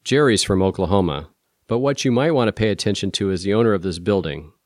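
A wry cop who likes to needle people, played by a male audiobook narrator. His voice is on the low side, recorded dry and close. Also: expressive, fast, no echo, lightly acted. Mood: wry, needling.

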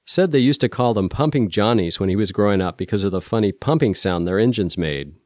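A young country-bred policeman from Oklahoma, voiced by a male audiobook narrator. He is dreamy and folksy, with a modestly low voice. The sound is dry. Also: animated, a little fast, darkish tone, no room sound, close to an everyday voice. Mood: dreamy, entranced.

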